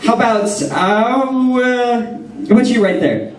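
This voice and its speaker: A man performs a playful stage routine for a crowd, his voice sitting high and bouncing around a big hall. He jokes and laughs between lines, sounding distant from the mic. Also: animated, unhurried. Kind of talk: live stage routine with audience. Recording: roomy hall sound from far off.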